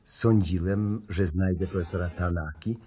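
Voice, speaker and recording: An actor playing a formal, guarded embassy diplomat, over-polite and unruffled, in a 1960s-style Polish radio drama. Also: male voice, sits low, fairly even intonation, normal speed, dark tone, lightly acted.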